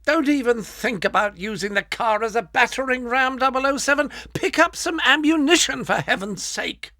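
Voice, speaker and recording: A man with a British accent playing a fussy, exasperated, pompous spy quartermaster in a video game, in a sharp, scolding voice. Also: extremely high pitch, lively intonation, normal speed, no echo, studio quality, exaggerated voice.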